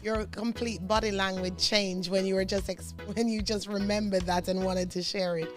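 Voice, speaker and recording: A woman with a medium-pitched voice hosts a radio interview. She talks casually and conversationally, affirming her guest as she goes. Faint music plays behind her. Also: little pitch movement, normal speed. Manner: chuckles often, affirming, informal.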